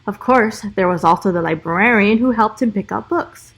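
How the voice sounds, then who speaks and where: A woman's voice: medium pitch, moderate pace, slightly rough. A woman teacher reading a children's storybook aloud expressively, with encouraging little asides to young listeners.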